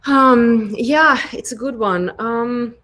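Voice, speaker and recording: A woman's voice: fairly high pitch, slow pace, pushed and bright, slight background noise. A woman in her thirties from Ukraine with a Ukrainian accent, answering interview questions casually with many ums and restarts. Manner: hesitant, many fillers and restarts. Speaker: guest, product design lead.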